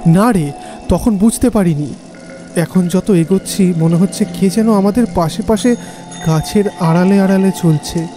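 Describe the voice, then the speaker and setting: Man acting a high-voiced, uneasy young villager. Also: unhurried, dark tone, faint music behind. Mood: uneasy, urgent. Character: nervous young village man, frightened friend on a night ride. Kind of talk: dramatized audio horror story.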